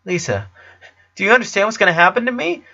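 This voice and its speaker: An anxious young boy from a cartoon story, played by a man, pitched up and tense. He stammers and pleads with urgency. The sound is slightly lo-fi. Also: big pitch swings, fast talker, gravelly, sharp tone, full character voice. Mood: pleading. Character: Lincoln, anxious eleven-year-old boy, worried big brother. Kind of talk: dramatic reading of cartoon fan fiction.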